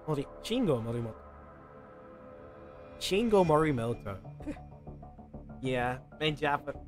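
A male streamer with a mid-pitched voice reads game dialogue aloud. He is playful and ad-libs freely, tripping over words now and then. Game music plays faintly behind him. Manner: playful, ad-libbing, stumbles while reading. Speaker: livestreamer. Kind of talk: reading game dialogue aloud with casual commentary. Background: video game music.

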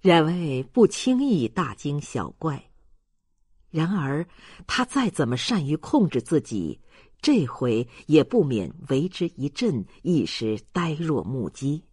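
Husky, expressive audiobook narrator. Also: mid-range voice, slow pace, dry recording, clean studio sound. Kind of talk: scripted literary narration.

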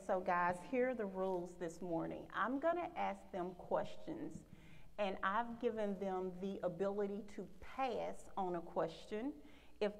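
A woman's voice: medium pitch, gravelly. Southern woman hosting a church panel. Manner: upbeat, frequent amens. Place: large church sanctuary. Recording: long reverb of a church hall.

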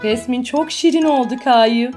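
An actress plays a kind young female babysitter in a children's cartoon. She has a high voice and a gentle, encouraging way of talking, with faint music behind. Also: normal speed, lightly acted.

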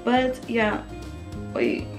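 A young woman with a slightly raspy voice, chatting upbeat and casual through a clothing try-on haul in her bedroom, talking to the camera up close. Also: on the high side, sing-song, drawn-out words, music in the background. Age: young adult. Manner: chatty, upbeat, lots of fillers. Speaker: fashion vlogger. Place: bedroom with photo-collage wall, indoors.

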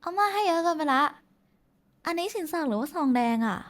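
A woman's voice: extremely high pitch, lively intonation, a little fast. A woman playing a shy, affectionate young woman in an intimate roleplay, talking casually and hesitantly to someone special. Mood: surprised. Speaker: VTuber voice actress. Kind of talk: intimate roleplay voice pack addressed to the listener.

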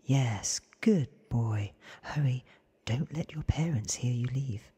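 A man voicing a cool, commanding, secretive vampire woman from a storybook in a clipped, breathy ASMR whisper. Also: medium pitch, slow pace, warm tone, pitched above the performer's own voice.

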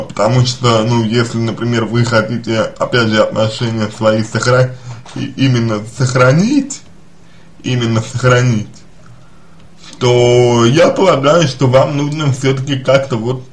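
A man giving unscripted personal advice as a psychologist, speaking deliberately and haltingly, often repeating and rephrasing his phrases. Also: mid-range voice. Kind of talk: spoken advice to a listener, unscripted.

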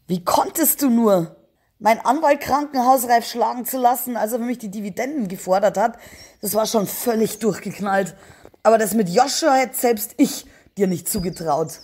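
A woman's voice: on the high side, moderate pace, a little mellow, husky. A woman playing a bitter, indignant sister-in-law making accusations in an audio crime drama. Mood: outraged. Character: outraged, bitter woman confronting her criminal brother-in-law.

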